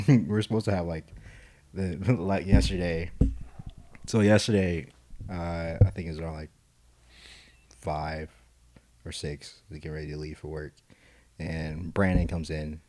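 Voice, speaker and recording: A man's voice: low voice, steady tone, measured pace, long pauses, warm tone, a little hiss or hum. A young man co-hosting a podcast, chatting casually and rambling with lots of fillers and restarts. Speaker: podcast co-host, concrete estimator. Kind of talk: casual chat.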